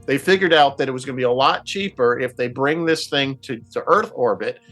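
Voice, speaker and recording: A man in a casual panel discussion, explaining things in a halting way and repeating words as he thinks aloud. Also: mid-range voice, a bit bright, soft background music. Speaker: panelist in a radio roundtable.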